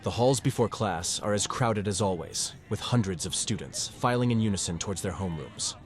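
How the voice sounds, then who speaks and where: Man playing a low-voiced, jaded teenage narrator. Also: expressive, a little fast, a crowd in the background, natural voice. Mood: weary. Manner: glum, sardonic, self-deprecating. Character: weary, cynical, awkward male high-school student narrator. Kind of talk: first-person scripted visual novel narration. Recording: music under the voice.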